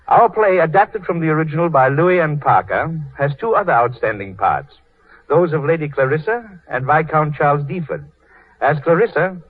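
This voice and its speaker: Formal male announcer for vintage radio theater: declamatory, rough-edged voice, narrow phone-like old recording. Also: medium pitch, no echo. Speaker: host and narrator of a radio drama series. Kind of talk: formal 1930s scripted radio presentation. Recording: tinny, husky 1930s radio recording.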